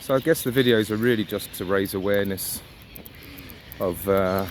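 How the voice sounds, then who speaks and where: A man's voice: lowish voice, normal speed. A man giving a casual, reflective walking monologue as a vlogger, musing and posing rhetorical questions. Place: outdoors on a riverside walk. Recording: outdoor ambient noise, birds and traffic.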